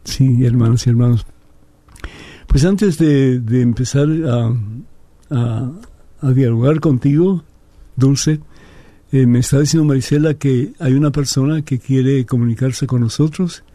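A man's voice: mid-range voice, unhurried, no room sound. A man speaking as a priest and programme host, reverent and conversational. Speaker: priest hosting a call-in programme. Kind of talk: devotional prayer and unscripted interview. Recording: soft new-age music behind the voice. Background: new-age music.

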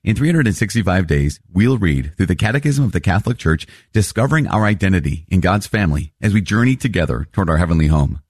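A man's voice: deep voice, fast, few pauses, husky, no room sound. A man, a priest hosting a daily teaching show, talking conversationally and rephrasing his thoughts aloud. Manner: animated, thinks aloud and rephrases mid-sentence. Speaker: priest and podcast host.

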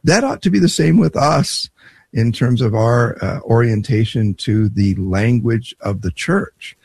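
A man presenting a conversational promotional spot in a measured, deliberate way, like someone telling a personal story. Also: medium pitch, gravelly, a little mellow, slightly rough. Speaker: presenter promoting a language course.

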